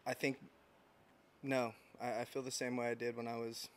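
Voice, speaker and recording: A man in his thirties from San Diego, a podcast guest talking in a reflective, unhurried way with frequent restarts. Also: medium pitch, little pitch movement, a little hiss or hum. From San Diego, United States. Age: 30s. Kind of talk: casual interview chat.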